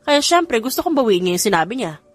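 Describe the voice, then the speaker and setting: A woman's voice: a little high, sing-song, fast talker. A woman with a Filipino accent voicing a vain, cheeky adult son in an audio romance story, boastful and self-assured. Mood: explaining.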